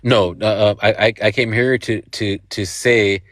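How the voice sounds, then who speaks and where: A man in his forties calling into a live stream, speaking haltingly and repeating words as he restarts his sentences. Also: on the low side, fairly even intonation, fairly bright tone, no room sound, studio quality. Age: 40s. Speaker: guest caller. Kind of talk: casual debate on a live stream.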